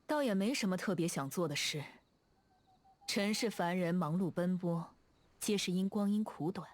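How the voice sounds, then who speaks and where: A woman's voice: on the low side, normal speed, close to an everyday voice. A woman voicing a proud, aloof immortal adept in a video game, with a composed, lofty manner. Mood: aloof, reflective. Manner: lofty, archaic self-reference, composed. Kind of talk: game character voice line. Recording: background music behind the voice. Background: music.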